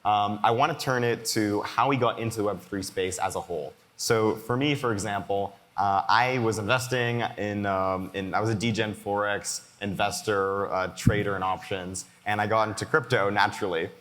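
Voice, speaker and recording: A man in his twenties from the Boston area moderating a conference panel, halting with many fillers. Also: fairly low pitch, fairly even intonation, fairly bright tone. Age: 20s. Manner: hesitant, many fillers and restarts. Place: conference hall. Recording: long hall reverb.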